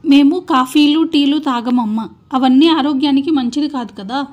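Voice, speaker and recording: A high-voiced, middle-aged cartoon mother-in-law, acted out by a woman. She is stingy and bossy, and she talks in a scolding, complaining way. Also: moderate pace, darkish tone, natural voice. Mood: grudging.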